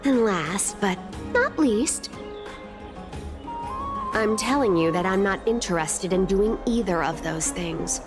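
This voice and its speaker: Playful, swooping trickster thief girl. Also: lowish voice. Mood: brisk, flippant. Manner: teasing, flippant, drawling. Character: playful, teasing cat-like demigod thief girl, cocky and flippant. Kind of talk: video game character acting.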